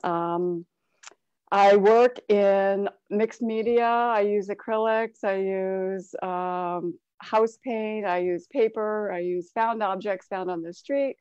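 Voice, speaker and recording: A woman's voice: medium pitch, fairly even intonation, slow pace, darkish tone, smooth, dry recording. A woman from Cleveland, Ohio, speaking as an artist on a panel in a friendly, chatty way over a video call. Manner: friendly, chatty, some fillers. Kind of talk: casual panel talk. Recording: dry, dull lo-fi call audio.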